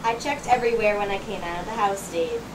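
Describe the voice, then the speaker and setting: A woman playing a brisk, worrying, practical mother in an amateur radio play. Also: mid-range voice, close to an everyday voice. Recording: distant mic, noisy room.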